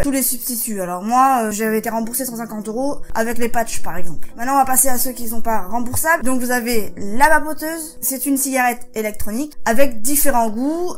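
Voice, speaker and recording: Chatty young woman w/ a cold, high voice, reads off a sheet and goes off on tangents. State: has a head cold. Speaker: vlogger. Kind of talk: casual explainer vlog.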